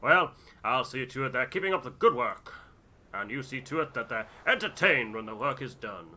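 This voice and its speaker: Man doing a hearty, loud sailor. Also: mid-range voice, very animated, normal speed, exaggerated voice. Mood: hearty, teasing. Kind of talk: voiced game dialogue.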